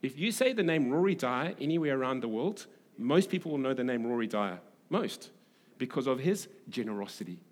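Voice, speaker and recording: In a hall with long reverb, a South African man delivers a sermon in a medium-pitched voice. His delivery is conversational and a little halting, and he repeats phrases to press a point home. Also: big pitch swings. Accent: South African English. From Durban, South Africa. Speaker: preacher, pastor. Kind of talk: sermon with scripture reading and conversational asides. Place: large reverberant hall, preaching to a congregation.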